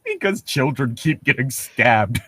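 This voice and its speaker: A man's voice: medium pitch, very animated, measured pace, fairly bright tone, breathy edge, no room sound, clean studio sound. A man bantering casually and reading aloud with sarcastic commentary, often breaking into amusement. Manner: wry, sarcastic, laughs often. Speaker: co-host reading and mocking online horror fiction.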